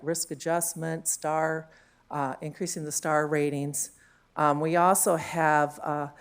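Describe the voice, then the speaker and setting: A woman with a deep, low voice talks to a conference room through a handheld mic. She is chatty and loose, with frequent fillers and questions tagged onto her points. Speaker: conference panel speaker. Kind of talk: conference panel talk.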